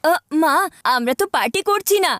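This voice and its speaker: High-voiced cheeky cartoon boy. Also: animated, a little fast, fairly bright tone, slight background noise. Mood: glib excuses. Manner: boyish, smooth-talking. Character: cheeky, glib boy, the big brother. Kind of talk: Bangla children's cartoon dubbing. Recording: music behind the voice.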